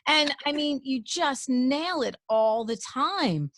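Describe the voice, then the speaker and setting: A woman's voice: high voice, expressive, slow, a bit bright, no room sound, studio quality. A woman radio host, enthusiastically asking effusive interview questions.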